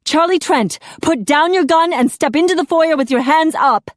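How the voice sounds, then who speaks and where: A woman's voice: mid-range voice, lively intonation, unhurried, dark tone, no room sound, studio quality. A woman voicing a young female police officer who speaks firmly and urgently. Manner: firm, urgent, commanding.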